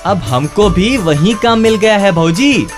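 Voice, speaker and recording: A man's voice: sits high, lively intonation, unhurried. A man playing an encouraging, folksy blind villager and family friend in a Hindi radio drama, with rustic north Indian village speech. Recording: music bed behind the voice.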